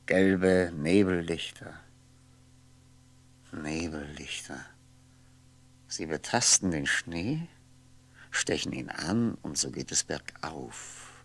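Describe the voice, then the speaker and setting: A sleepless, solitary ageing man in an old radio play. His deep voice creaks as he broods, halting and musing drily to himself. He sounds worn out and wry. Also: no room sound, heavily acted. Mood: brooding, dreamy. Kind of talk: 1960s German radio drama monologue, introspective.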